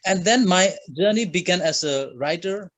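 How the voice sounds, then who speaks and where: A man from Myanmar with a Burmese accent, a guest author answering interview questions in a halting way with many fillers. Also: high-pitched, fairly bright tone, no room sound. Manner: halting, frequent uh fillers, simple phrasing.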